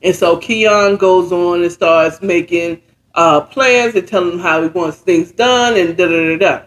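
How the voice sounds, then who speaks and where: A high-voiced American man tells a story informally, as a host recapping it with commentary. He takes his time, uses plenty of slang, and checks in with rhetorical questions as he goes. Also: unhurried, fairly bright tone. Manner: acts out characters' dialogue. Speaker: host retelling and reacting to a story.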